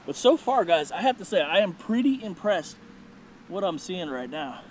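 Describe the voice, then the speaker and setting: A man vlogging outdoors, chatty and excited, talking straight to his viewers. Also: sits high, moderate pace, slight background noise. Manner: excited, chatty, keeps addressing viewers as guys. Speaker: vlogger hunting iguanas. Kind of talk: casual vlog narration to viewers. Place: outdoors in a neighborhood field.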